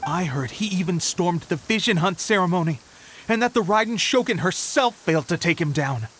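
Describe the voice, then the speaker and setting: An actor playing an eager, boastful young soldier in a video game, earnest and full of bravado. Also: male voice, sits high, animated, normal speed, a bit bright, a little hiss or hum. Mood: proud, excited. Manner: enthusiastic, storytelling bravado. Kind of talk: video game character dialogue.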